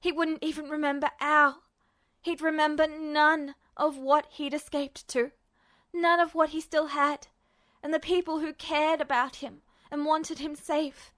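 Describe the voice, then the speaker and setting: Female narrator for dramatic fiction, high-pitched voice, intense and expressive delivery. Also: measured pace. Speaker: podfic narrator.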